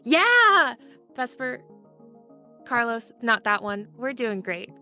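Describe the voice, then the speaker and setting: Female roleplay player, crisp bright tone, chatty, self-interrupting improv, light music behind. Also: fairly high pitch, very animated, slow. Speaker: tabletop game player. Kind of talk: casual improvised in-character chat. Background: background music.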